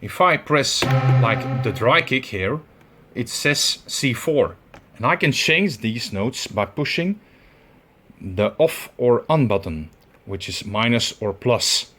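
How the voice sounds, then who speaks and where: A man with a Belgian accent giving a hands-on tutorial, talking in halting steps with many fillers as he searches for words. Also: medium pitch, lively intonation, fairly bright tone. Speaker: tutorial presenter. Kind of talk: instructional demonstration.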